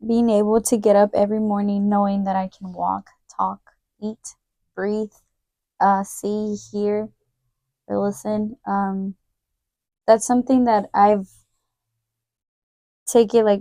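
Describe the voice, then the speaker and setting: This is a young woman in her twenties chatting casually as a podcast co-host. She sounds relaxed and talkative, with a medium-pitched voice. The recording is dry and close. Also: no echo. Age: 20s. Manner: relaxed, chatty, often finishes her co-host's sentences. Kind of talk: casual chat.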